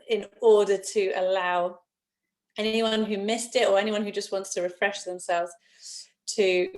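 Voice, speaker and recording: A woman hosting a video call conversation, friendly and a little hesitant, with frequent ums. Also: mid-range voice. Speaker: host. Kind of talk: video introduction and interview.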